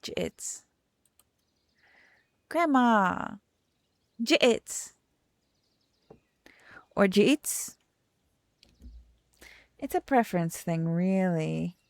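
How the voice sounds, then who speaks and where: A woman's voice: mid-range voice, very animated, very slow, pauses often, dry recording, studio quality. A young adult woman streaming a game, chatting in a rambling, self-correcting way and reading the text aloud. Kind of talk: casual let's-play chat and reading game text aloud.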